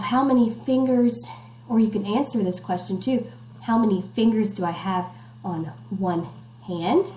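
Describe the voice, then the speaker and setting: A woman's voice: medium pitch, mellow. A woman doing an interactive picture-book read-aloud for young children, playful and encouraging, putting simple questions to them. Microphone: computer microphone. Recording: roomy echo, noticeable background noise.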